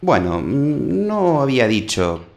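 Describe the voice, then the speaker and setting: An actor playing a naive, self-important amateur detective in a comic radio play, deadpan and theatrical, with an Argentine accent. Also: male voice, mid-range voice, takes it slowly, gravelly, exaggerated voice.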